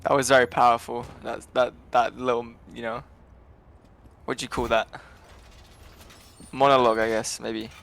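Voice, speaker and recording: A man from England calling live esports play-by-play in quick, steady runs, relaxed and chatty between rounds. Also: mid-range voice, creaky, slight background noise. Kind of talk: live game commentary.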